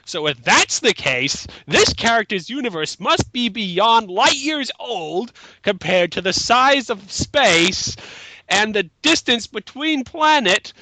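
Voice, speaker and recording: High, animated, sarcastic man. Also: takes it slowly, rough recording. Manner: incredulous, sarcastic. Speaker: panelist in a group mocking a written bio. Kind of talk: casual critical commentary.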